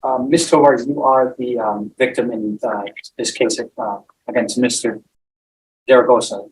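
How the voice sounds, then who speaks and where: A male prosecutor questioning a witness in a courtroom. He halts often and fills pauses with hesitations. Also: mid-range voice, steady tone, low-quality recording. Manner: hesitant, many fillers.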